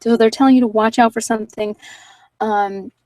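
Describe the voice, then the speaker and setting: A woman's voice: on the high side, steady tone, moderate pace, mellow, dry recording, recorded from a distance. A woman talking in a casual, conversational way as she hosts an informal tarot card reading. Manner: conversational, informal, anecdotal asides.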